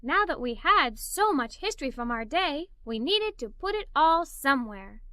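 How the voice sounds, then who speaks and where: A woman acts out a curious, excitable little girl narrating a children's story. The voice is high and bright, with big expressive swings, and it sounds close and dry. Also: moderate pace, no room sound, exaggerated voice. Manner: animated, expressive, wide-eyed. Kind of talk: scripted children's story read-aloud.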